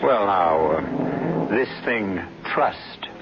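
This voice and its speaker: Expressive, musing male radio host. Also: on the low side, music in the background. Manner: deliberate, musing, with dramatic pauses. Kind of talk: theatrical scripted monologue. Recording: muffled old radio broadcast.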